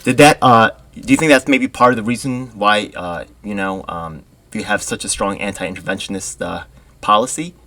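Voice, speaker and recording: A man's voice: on the low side, normal speed, pushed and bright. Chatty man hosting a casual interview. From Korea. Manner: chatty, laughs often, acts out quoted voices. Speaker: podcast host.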